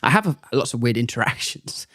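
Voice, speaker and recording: A young man and comedian, chatting casually as a podcast guest and telling animated stories in which he acts out other people's lines. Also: mid-range voice, a little fast, dry recording, studio quality. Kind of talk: casual chat, storytelling.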